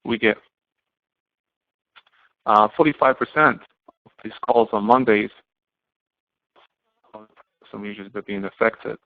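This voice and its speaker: A man giving an explanatory lecture to students as an instructor, speaking haltingly and often posing questions that he then answers himself. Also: lowish voice, no room sound. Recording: muffled, band-limited, phone-like audio.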